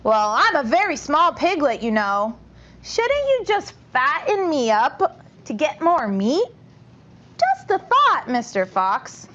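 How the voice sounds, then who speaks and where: A small, timid boy piglet from a storybook, acted out by a woman, pleading politely in a very high, swooping voice. The sound is a little dull. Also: huge pitch swings, slow, a bit bright, heavily acted. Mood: timid, wheedling. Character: small frightened male piglet, polite and wheedling.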